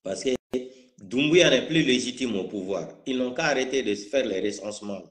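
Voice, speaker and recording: A man's voice: medium pitch, expressive, normal speed. Outspoken man with a Guinean French accent. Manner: outspoken, combative, repeats phrases for emphasis. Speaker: political activist commentator. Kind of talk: live political talk, spontaneous.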